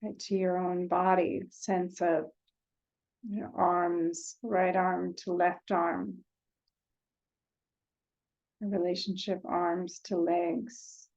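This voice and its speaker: A woman leading a guided meditation in a gentle, unhurried way, leaving long pauses between short phrases. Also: lowish voice, little pitch movement, lo-fi sound.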